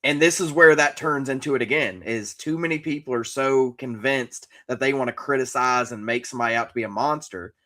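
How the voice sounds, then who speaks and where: A man co-hosting a talk podcast, sharing casual opinions in a measured, explanatory way. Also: mid-range voice, pushed and bright. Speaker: podcast co-host.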